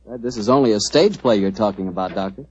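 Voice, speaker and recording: A man hosting an old radio show with jovial scripted banter and a husky voice. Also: fairly low pitch, fast, noisy background. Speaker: announcer, host. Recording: dull vintage radio recording.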